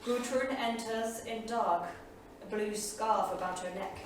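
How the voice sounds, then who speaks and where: A woman's voice: mid-range voice, unhurried, natural voice. A woman playing a formal stage narrator, reading out verse and scene directions like a storyteller. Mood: scene-setting. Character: female stage narrator announcing dates and scenes. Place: small studio theatre, staged reading. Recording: echoey theatre, distant mic, faint noise.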